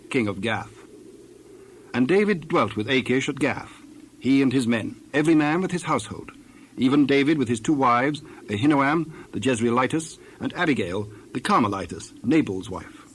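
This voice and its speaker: A man of medium pitch narrates scripture over audible background noise and birds. His reading is formal and measured, as scripted narration for an audio drama.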